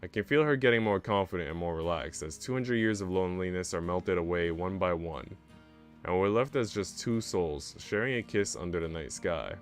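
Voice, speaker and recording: A man's voice: sits low, normal speed, faint music behind. A young man streaming a visual novel read-aloud, narrating with casual, slangy reactions.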